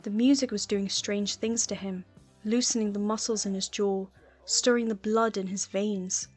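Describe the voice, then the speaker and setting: A woman's voice: mid-range voice, fairly even intonation, normal speed. A woman reading a story aloud as scripted audiobook narration. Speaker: audiobook narrator of fan fiction. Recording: music playing underneath.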